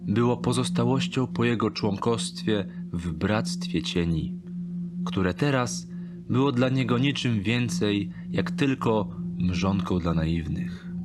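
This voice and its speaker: A man narrating a dark fantasy thriller audiobook in a measured, deliberate storytelling manner. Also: fairly low pitch, expressive, heavy background noise. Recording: strong ambient sound behind the voice.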